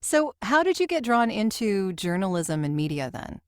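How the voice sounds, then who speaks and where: A woman's voice: mid-range voice, sing-song, normal speed, no echo, studio quality. A woman hosting a casual interview, attentive and affirming. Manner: attentive, affirming, chuckles often. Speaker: podcast host, interviewer.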